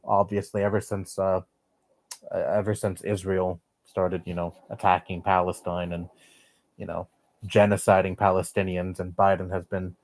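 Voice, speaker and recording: Young man, streamer, lowish voice, dry close sound, blunt, cusses a lot. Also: a little mellow, no room sound. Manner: blunt, swears often, rambling opinions. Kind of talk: casual live-stream chat.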